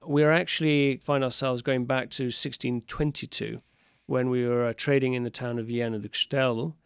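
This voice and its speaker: A man's voice: mid-range voice, fairly even intonation, moderate pace, gravelly, clear, resonant tone, no room sound. A man who grew up in Portugal, talking as a guest in a radio studio interview, chatty and enthusiastic with frequent fillers. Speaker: port wine sales and export manager. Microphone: studio microphone.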